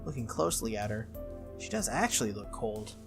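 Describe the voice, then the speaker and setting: A game playthrough host reading visual novel text aloud as expressive narration, with casual asides. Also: medium pitch, fairly quick, gravelly, music in the background.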